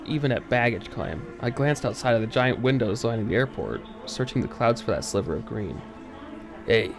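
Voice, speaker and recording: A man narrating a first-person horror story in a dramatic, tense, expressive way with pauses for suspense. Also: mid-range voice. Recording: eerie music under the voice. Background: background music.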